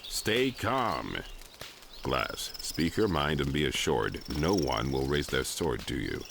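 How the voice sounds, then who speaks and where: Very deep, gravelly male rebel leader. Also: big pitch swings, background noise, heavily acted. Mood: calm, commanding. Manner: measured, authoritative. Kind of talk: video game cutscene acting.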